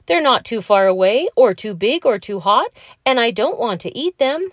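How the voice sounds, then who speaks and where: A woman's voice: fairly high pitch, sing-song, moderate pace, dry recording, studio quality, voice pushed up. A woman voicing an earnest, stubborn, dreamy young male crocodile from a children's storybook, with emphatic delivery. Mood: stubborn, proud.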